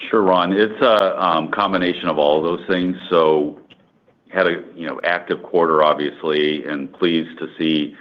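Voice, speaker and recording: A man speaking as a company executive, answering questions on an earnings call in halting, wordy corporate phrasing over conference call audio. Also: low voice, fairly even intonation. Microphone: conference call line. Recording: dull, band-limited conference call audio.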